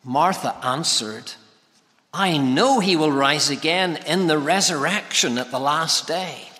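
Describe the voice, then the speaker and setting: A man preaching an expository sermon, speaking with emphatic, deliberate phrasing. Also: on the high side, sharp tone. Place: large reverberant church or hall. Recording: hall reverb.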